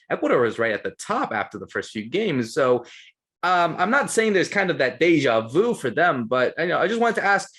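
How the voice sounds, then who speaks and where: A man's voice: medium pitch, fairly bright tone. A man hosting a football podcast, asking long, eager questions in a conversational interview style. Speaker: podcast host.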